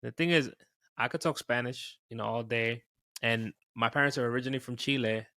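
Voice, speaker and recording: A man's voice: mid-range voice, a little fast, pushed and bright, dry recording. A man in his thirties from New York City, with a Dominican-tinged New York accent, chatting casually as a podcast guest and often saying "bro". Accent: New York, Dominican-influenced. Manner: laid-back, peppers speech with "bro". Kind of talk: casual chat.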